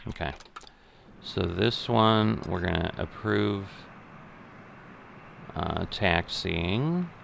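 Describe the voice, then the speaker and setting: A middle-aged man giving unscripted simulation game commentary, thinking aloud in a methodical, halting way. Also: sits low, lively intonation, creaky, background noise. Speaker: gaming video host.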